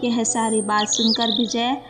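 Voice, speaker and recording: High-pitched, unhurried woman storyteller. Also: little pitch movement, music in the background. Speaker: story narrator. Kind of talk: scripted children's moral story narration.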